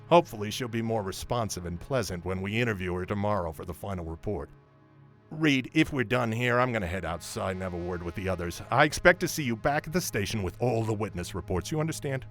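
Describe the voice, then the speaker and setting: Low-voiced, scornful police sergeant played by a man. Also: animated, a little fast, fairly bright tone. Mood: dismissive, then commanding. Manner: authoritative, dismissive, lecturing. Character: brusque, scornful male police sergeant in command. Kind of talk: audio drama acting. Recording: music behind the voice.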